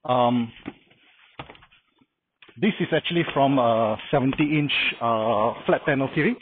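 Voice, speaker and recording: A man's voice: mid-range voice, a little slow, background noise. A middle-aged man casually walking through a car camping setup, explaining things plainly with frequent uh fillers. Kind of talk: casual how-to walkthrough. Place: outdoor carport beside a house. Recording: dry, phone-like narrow sound.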